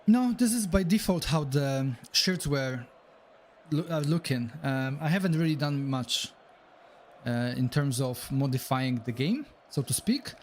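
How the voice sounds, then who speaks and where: A man with a Polish accent talks loosely while he plays, calling "bro" and "man" to his viewers. His voice sits at an ordinary middle pitch. A faint hiss of noise runs under the recording. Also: animated, unhurried. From Poland. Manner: chatty, reacts aloud to the match, says "bro" and "man". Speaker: streamer. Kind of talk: live gameplay commentary and chat.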